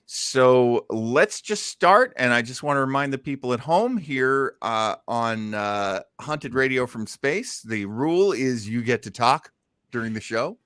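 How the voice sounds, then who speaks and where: A man's voice: medium pitch, expressive, measured pace, fairly bright tone, clear, resonant tone, no echo, studio quality. A man hosting a show in a casual, unscripted way, shifting between theatrical announcing and rambling chat. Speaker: show host.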